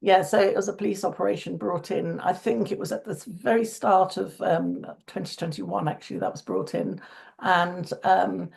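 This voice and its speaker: A woman speaking as an interview guest in earnest conversation, her voice croaky today and often restarting phrases. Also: on the low side, steady tone, moderate pace, darkish tone. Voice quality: gravelly.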